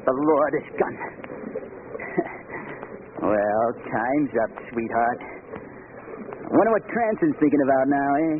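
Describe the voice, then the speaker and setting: A man's voice: medium pitch, lively intonation, slow, long pauses. Taunting old radio gangster, tough slangy gunman, tinny noisy recording. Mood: gloating. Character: menacing, gloating male gangster gunman. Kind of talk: old-time radio crime drama acting.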